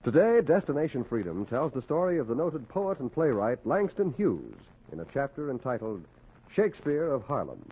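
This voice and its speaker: An actor playing a formal announcer in an old-time radio drama. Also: male voice, medium pitch, sing-song, moderate pace. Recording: tinny, noisy old radio recording.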